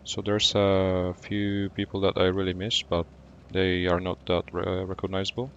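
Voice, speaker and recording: A man from Poland with a Polish accent, streaming a game and chatting with viewers in a relaxed way, often pausing to think. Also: deep voice, muffled recording, a little hiss or hum. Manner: relaxed, thinking aloud with fillers. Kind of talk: gameplay commentary and chat replies.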